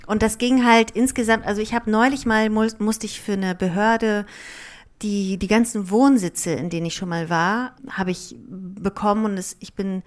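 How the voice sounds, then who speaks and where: A woman's voice: medium pitch, steady tone, moderate pace. A woman in her thirties, a writer and performer born in Germany and raised partly in Greece, whose first language is Greek, talks candidly and reflectively in an interview, with fillers and restarts. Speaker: author and performer, guest. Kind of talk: relaxed conversational interview.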